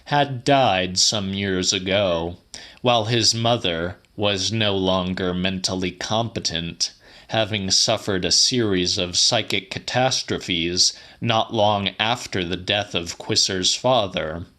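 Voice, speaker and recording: A man narrating a literary audiobook in a measured, deliberate, dramatic style. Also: low-pitched, pushed and bright.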